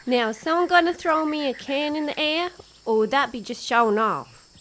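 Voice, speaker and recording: An actress playing a confident rancher and former sharpshooter in a radio drama. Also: female voice, high voice, normal speed, a bit bright, slight background noise. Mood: breezy. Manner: breezy, confident.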